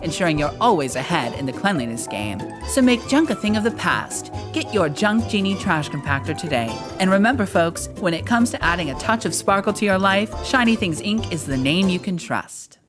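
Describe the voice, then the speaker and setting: A woman playing a peppy, folksy commercial announcer, salesy and emphatic in a retro advert style. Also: lowish voice. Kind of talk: parody retro commercial read.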